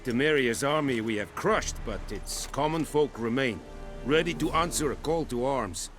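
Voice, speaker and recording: An actor playing a stern, pragmatic commander of an occupying army in a video game, formal and measured, speaking with a foreign accent. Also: male voice, medium pitch, fairly bright tone, soft background music, natural voice. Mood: grave. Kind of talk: dramatic video game acting.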